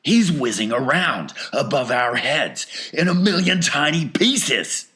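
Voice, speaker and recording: A man voicing an irritable, worried father in a storybook, blunt and sharp. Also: on the high side, big pitch swings, slow, pitched above the performer's own voice, full character voice. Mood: sharp, exasperated. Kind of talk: storybook character reading.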